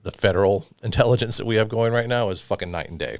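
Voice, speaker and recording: Smooth low-voiced man chatting bluntly. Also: quick pace, dry recording. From Georgia, US. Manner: blunt, heavily profane. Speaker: podcast host. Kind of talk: casual chat.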